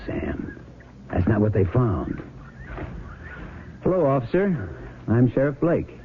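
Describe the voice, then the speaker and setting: An actor playing a methodical police sheriff in a 1970s radio drama, speaking in a deliberate, folksy way. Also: male voice, low voice, full character voice. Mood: grave, careful. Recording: muffled, noisy old radio broadcast.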